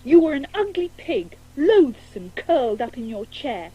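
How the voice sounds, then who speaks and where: A woman's voice: high voice, sing-song, a little slow, dark tone, muffled recording. An actress playing a prim, easily flustered young woman in a radio play, protesting in a proper manner. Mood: amused, reluctant.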